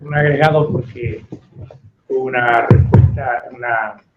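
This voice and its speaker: A man giving earnest, emphatic and deliberate remarks as a panel participant. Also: medium pitch, fairly even intonation, background noise. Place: echoey indoor room at panel event. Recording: echoey room, distant mic, dull sound.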